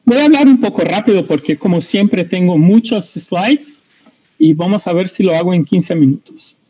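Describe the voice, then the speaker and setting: A man's voice: high voice, animated, normal speed, warm tone, slightly rough, poor audio. A middle-aged Brazilian man, a native Portuguese speaker, giving a panel talk in Spanish over a video call, hesitant and repeating words as he searches for them. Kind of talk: online conference talk.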